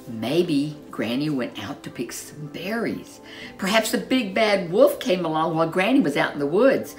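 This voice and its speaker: A woman reads a children's story in a low voice with very lively intonation. She is expressive and leans into dramatic, drawn-out words. Soft music plays underneath.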